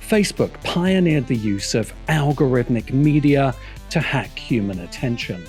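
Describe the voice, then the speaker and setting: A man's voice: mid-range voice, expressive, unhurried, a little mellow. A man delivers scripted, wry commentary in a mid-range voice. He sounds like a critical video essayist, with faint music running behind him. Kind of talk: scripted narration.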